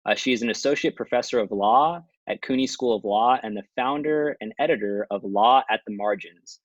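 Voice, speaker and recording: A man moderating an online panel, introducing speakers politely with some halting fillers. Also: mid-range voice, no echo, muffled recording.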